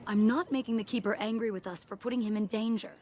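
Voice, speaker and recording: A woman's voice: on the high side, steady tone, fast talker, dark tone, slight background noise, close to an everyday voice. A woman playing a dutiful young elven mage apprentice in a video game, earnest, firm and cautious. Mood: firm, irritated.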